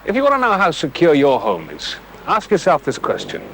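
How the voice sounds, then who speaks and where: Animated British man, colloquial and matter-of-fact. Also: fairly high pitch, a little fast. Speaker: crime prevention film presenter. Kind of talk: conversational scripted advice, 1970s television. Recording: faintly noisy 1970s television soundtrack.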